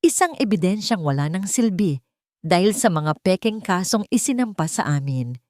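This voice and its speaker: A Filipino woman reads a dramatic love story as a narrator. Her voice sits fairly low, and the recording is dry and close, with little room sound. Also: sing-song, normal speed, darkish tone, no echo.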